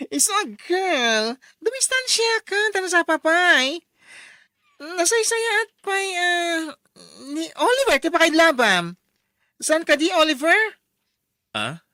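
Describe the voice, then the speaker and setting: An actress as a gossipy young woman in a radio drama. Her voice is high, bright and swooping. She teases emphatically, in a sing-song way. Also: no echo, exaggerated voice.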